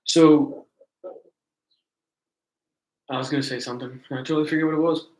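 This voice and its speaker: A man's voice: fairly high pitch, steady tone, moderate pace, darkish tone. A young man co-hosting a casual podcast chat, laid-back and chuckling along. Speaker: podcast co-host. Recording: roomy echo with faint noise.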